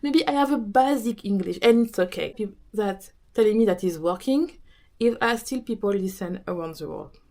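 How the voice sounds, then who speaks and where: A woman with a French accent, a native French speaker, talking casually about consistency as a podcast host and coach, often pausing and restarting as she searches for words. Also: medium pitch. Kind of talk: casual talk to camera.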